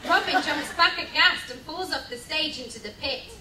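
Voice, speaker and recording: A woman's voice: high voice, moderate pace. A woman narrating a comic live amateur radio play from a script, playfully reading out the sound cues. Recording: distant, echoey, loud crowd noise.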